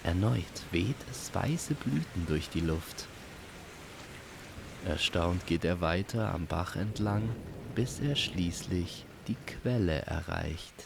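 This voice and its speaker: A man reads a fantasy tale as a measured storyteller. His voice is low and creaky, and he takes his time with each phrase. Rain is clearly audible behind him. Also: animated, background noise. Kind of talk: scripted fantasy story narration.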